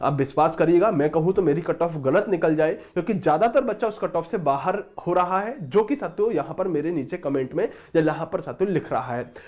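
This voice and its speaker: A man's voice: a little high, animated, brisk, few pauses, darkish tone. A man giving an informal exam update as a teacher, reading out a notice and often addressing viewers as friends. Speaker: exam-prep teacher and presenter.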